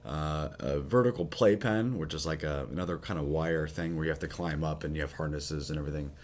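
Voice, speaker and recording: Low-voiced raspy priest, casual chat. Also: male voice, brisk, slight background noise. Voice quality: low, husky. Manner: relaxed, rambling with many fillers. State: raspy, recovering voice. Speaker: priest, podcast co-host.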